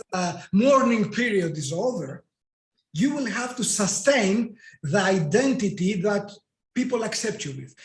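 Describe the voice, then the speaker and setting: Man, Greek accent, native Greek speaker, very high voice, emphatic, rhetorical questions, echoey room. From Greece. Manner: emphatic, asks rhetorical questions and answers them himself. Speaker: guest coach and NLP trainer. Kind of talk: explanatory interview talk.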